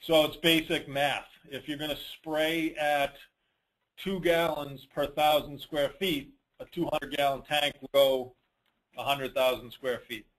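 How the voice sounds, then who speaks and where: A man's voice: a little high, little pitch movement, measured pace, a bit bright, low-quality recording. Man giving blunt, colloquial advice. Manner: blunt, colloquial, emphatic repetition. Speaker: host of a dealer network conference call answering questions. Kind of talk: informal Q&A, advising.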